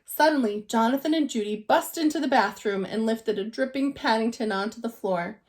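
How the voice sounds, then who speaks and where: A woman's voice: on the high side, normal speed, a little mellow. A woman teacher reading a children's storybook aloud with expression.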